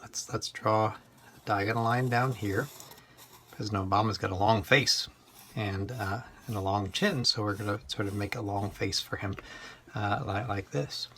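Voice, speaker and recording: Halting man giving step-by-step drawing instruction. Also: fairly low pitch, steady tone, fairly bright tone. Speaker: illustrator giving a drawing lesson. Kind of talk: step-by-step instruction for young children.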